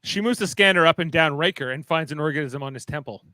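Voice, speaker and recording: A man reads stage directions as the narrator of a radio play. His voice is a little higher than usual for a male. The sound is dry, with little room around it. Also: quick pace, pushed and bright, no room sound.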